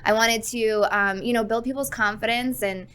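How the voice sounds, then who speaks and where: A woman's voice: on the high side, steady tone, moderate pace, smooth. A woman in her thirties, born in Texas and raised in the Northeast US, chatting as a podcast guest in a friendly, easygoing way. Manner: friendly, warm, often laughing, uses fillers. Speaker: guest, hairstylist and entrepreneur. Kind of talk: casual interview chat.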